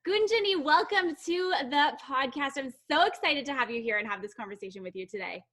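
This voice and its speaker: A woman's voice: high-pitched, lively intonation, fairly quick, sharp tone. A young woman hosting a podcast, speaking with upbeat enthusiasm and laughing often. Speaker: podcast host. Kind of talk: podcast promo and interview.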